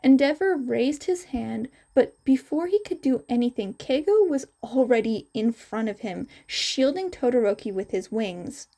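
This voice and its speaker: A woman's voice: fairly high pitch, expressive, moderate pace, few pauses, mellow. A woman reading fan fiction aloud as a scripted storytelling narration.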